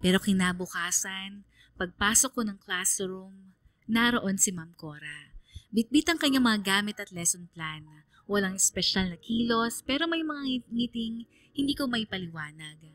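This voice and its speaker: Radio drama narrator, actress as shy, reflective female student, mid pitch, dry sound. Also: lively intonation, moderate pace, a bit bright, no echo, natural voice. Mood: anxious, tender. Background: music under the voice.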